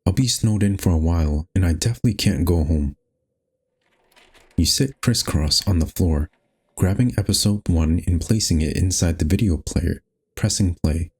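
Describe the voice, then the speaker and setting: Male game host, deep and husky, reading story text and dialogue aloud. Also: moderate pace, darkish tone. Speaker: gaming host. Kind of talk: reading visual novel narration and lines aloud.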